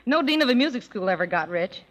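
An actress playing a brisk, cheeky, bantering young daughter in 1930s radio drama style. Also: female voice, on the high side, sing-song, poor audio.

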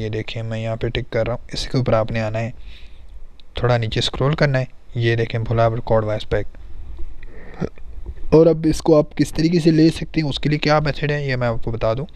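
Male gaming host, crisp clean voice, mid pitch, conversational tutorial narration. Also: steady tone, normal speed. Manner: conversational, explanatory. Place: voice-over on game screen recording.

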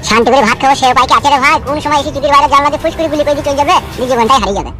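A woman's voice: high voice, rapid-fire, over music, lightly acted. A woman voicing an earnest, pleading villager who plots in a comedy cartoon. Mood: complaining.

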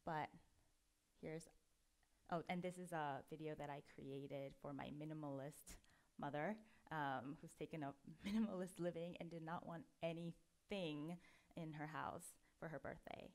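A woman in her thirties giving a relaxed, chatty talk at a conference, often pausing with ums. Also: low voice. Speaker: conference speaker. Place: dark auditorium, at a lectern before an audience.

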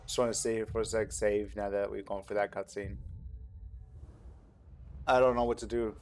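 Male streamer, slightly low register, casual playthrough commentary, close-miked. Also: flat delivery, normal speed, soft background music. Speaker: video game streamer. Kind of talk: casual let's play commentary.

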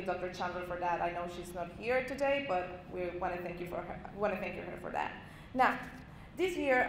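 A young woman at a podium giving a formal introduction, reading out awards carefully and slowly. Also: mid-range voice. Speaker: event host introducing the speaker. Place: large conference hall, at a podium. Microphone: handheld mic. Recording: echoey hall with faint noise.

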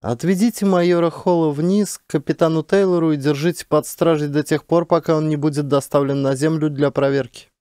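Man voicing a stern female space commander, raised pitch. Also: steady tone, a little fast, few pauses, darkish tone, no echo, studio quality, lightly acted. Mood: stern, firm.

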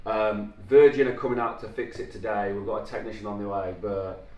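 A man's voice: on the low side, fairly even intonation, brisk, darkish tone. A man in his twenties vlogging in a casual, upbeat way, with plenty of fillers, filmed indoors in a studio. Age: 20s. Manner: chatty, upbeat, loose with fillers. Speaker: vlogger and filmmaker. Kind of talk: casual vlog chat. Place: studio office and lounge indoors. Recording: roomy, distant, faint noise.

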